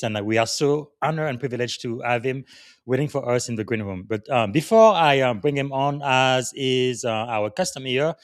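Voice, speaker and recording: A man from Congo hosting a live podcast, leading a gratitude moment in an earnest, reflective way with halting phrases. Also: mid-range voice, no echo, clean studio sound. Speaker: podcast host.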